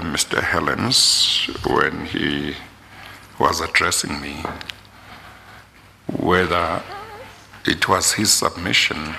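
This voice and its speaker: Middle-aged man, hearing chairman, very deep, very slow, gravelly, bright forceful edge, reverberant hall. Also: a little hiss or hum. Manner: slow, deliberate with pauses. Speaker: commission chairman. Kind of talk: formal questioning at a hearing. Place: hearing room. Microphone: desk microphone.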